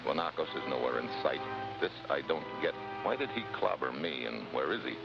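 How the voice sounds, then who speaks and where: An actor with an American accent playing a brusque, sardonic special agent in a vintage TV adventure. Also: male voice, very deep voice, big pitch swings, fairly quick, gravelly, loud music behind the voice, heavily acted. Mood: terse narration. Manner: clipped, dry, sardonic. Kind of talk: 1950s TV adventure acting with noir narration. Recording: muffled old TV soundtrack, heavy noise.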